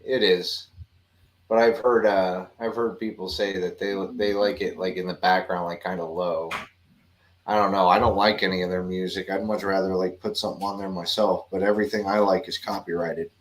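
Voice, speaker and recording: A man's voice: low voice, steady tone, moderate pace, low-quality recording. A man chatting casually on a livestream panel, loose and swearing, laughing easily.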